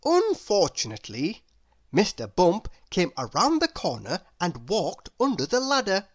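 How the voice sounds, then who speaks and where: Slow, very animated woman storyteller. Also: on the low side, slightly rough. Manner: addresses young listeners, dramatic pauses. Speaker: storyteller reading aloud. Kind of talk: expressive children's read-aloud.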